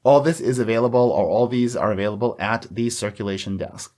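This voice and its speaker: A man's voice: medium pitch, fairly quick, darkish tone. A man co-hosting a library podcast in casual, joking chat. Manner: chatty, joking, with laughs and restarts. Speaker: podcast co-host.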